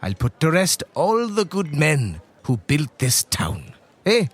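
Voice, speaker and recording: Man playing a husky, tipsy priest, swooping pitch. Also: huge pitch swings, slow, exaggerated voice. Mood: tipsy, earnest. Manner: rambling, avuncular, punctuating with "eh" and "right".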